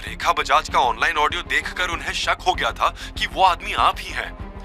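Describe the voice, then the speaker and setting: A man plays a loyal male assistant in an audio story, a little higher than his own voice and noticeably quieter. He sounds careful and deferential, as if reporting in confidence. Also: lively intonation, moderate pace, faint music behind.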